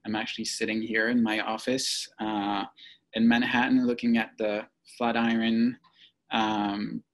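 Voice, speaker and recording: Creaky-voiced man. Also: on the low side, level tone, measured pace. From French-speaking Belgium. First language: French. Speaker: podcast guest, psychotherapist. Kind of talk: reflective interview answers.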